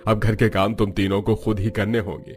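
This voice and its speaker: A grave, advising older man in a Hindi cartoon family story, voiced by a man. His voice is low and he explains things in a measured way, with faint music behind. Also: little pitch movement, darkish tone, close to an everyday voice. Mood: firm.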